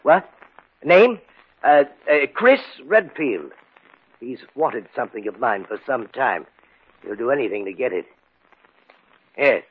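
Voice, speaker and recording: An actor playing a nervous, hesitant, frightened householder who stammers, in a 1950s radio horror play. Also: male voice, medium pitch, expressive, a little slow, long pauses, husky. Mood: anxious. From United States. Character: nervous, frightened householder guarding a cursed flask. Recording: thin, tinny old radio recording.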